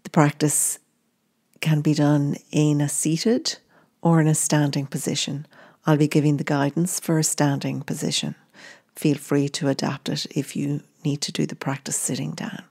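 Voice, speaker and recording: A woman gently guiding a meditation in short, unhurried phrases. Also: low-pitched, level tone, gravelly, slightly rough. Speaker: meditation guide. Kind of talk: guided meditation narration.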